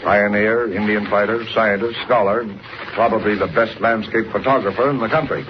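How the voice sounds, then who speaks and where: An actor playing a brisk, gruffly friendly newspaper city editor with an authoritative, emphatic manner in a noisy 1940s radio drama. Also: male voice, fairly low pitch, fairly even intonation, natural voice. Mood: confiding. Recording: noisy, dull vintage radio recording.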